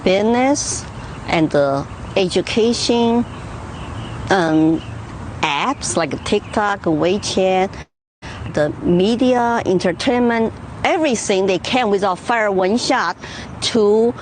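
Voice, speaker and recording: A woman's voice: mid-range voice, a little hiss or hum, poor audio. Earnest woman with a Chinese accent. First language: Mandarin Chinese. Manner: earnest, emphatic, halting English. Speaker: interviewee, political candidate. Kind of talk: interview answers.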